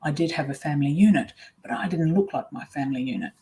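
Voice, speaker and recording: A middle-aged woman, whose was Swiss German, telling her own story in a webinar, candid and reflective with many pauses and ums. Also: deep voice, mellow. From Australia. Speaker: webinar presenter.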